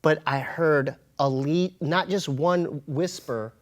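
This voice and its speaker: An American man with a fairly high-pitched voice, speaking as a guest in an interview. He tells personal stories casually, with slangy, emphatic phrasing and a halting delivery. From United States. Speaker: interview guest, comedian and hip-hop artist.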